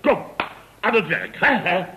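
Pompous, animated contractor boss. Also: male voice, high-pitched, a little slow, a little hiss or hum, exaggerated voice. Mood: animated storytelling. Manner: pompous, rambling, chuckling raconteur with exclamations. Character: blustering, self-important building contractor boss, comically indignant. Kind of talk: 1960s-70s Dutch radio comedy acting. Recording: dull vintage radio recording.

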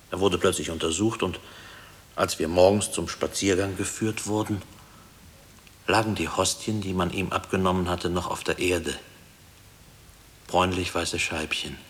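Deep-voiced, haunted former prisoner. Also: male voice, a bit bright, in a large hall. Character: haunted husband, former prisoner, brooding over his past. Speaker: actor in a radio play. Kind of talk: 1960s German radio drama acting.

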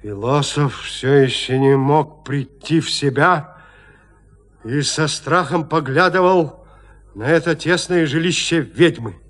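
A man narrating a literary audio drama in a measured, suspenseful manner with deliberate pauses. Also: a little high, a bit bright. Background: music.

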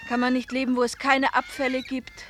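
A woman's voice: sits high, completely flat delivery, brisk, natural voice. An actress playing a restless, discontented wife of a fisherman in a German radio play, longing to get away. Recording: clearly noisy, farmyard-like bird ambience behind.

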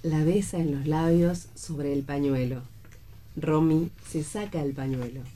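A woman's voice: low voice, fairly even intonation, measured pace, dark tone. A woman reading stage directions as the narrator of a scripted radio play.

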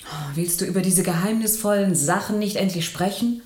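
A woman's voice: medium pitch, brisk, darkish tone, lightly acted. An actress playing a weary, exasperated woman impatient with her brooding partner in a German radio play.

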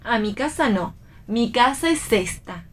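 A touchy young Argentine woman in a radio play. Her voice is high and she holds her ground. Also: expressive, a little slow. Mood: firm. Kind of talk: read theatre for radio.

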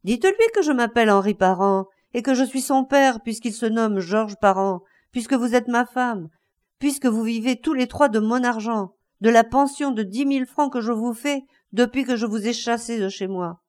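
A woman's voice: fairly high pitch, very animated, moderate pace, pitched above the performer's own voice. A woman voicing a bitter, enraged older man, a betrayed husband in a story, breathless and insistent in his outbursts. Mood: furious, accusing.

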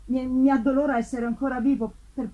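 A woman voicing a tormented, despairing young gentleman prisoner in a gothic story reading, halting and anguished. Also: high-pitched, fairly even intonation, dull audio, pitched above the performer's own voice. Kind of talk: dramatic literary reading. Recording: live reading over ambient noise.

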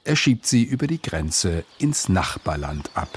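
A man with a husky voice presenting a scripted radio introduction. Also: low voice, very animated, unhurried, slight background noise. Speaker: programme presenter.

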